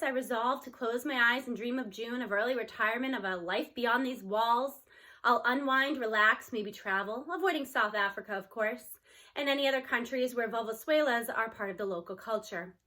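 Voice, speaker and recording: A woman reads a children's novel aloud. Her voice is high and bright, in the style of scripted story narration.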